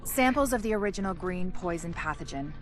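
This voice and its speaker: A woman's voice: fairly low pitch, animated, fairly quick, faint music behind, lightly acted. An actress playing a grave, precise female virologist giving a matter-of-fact scientific explanation in a video game. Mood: clinical, grave.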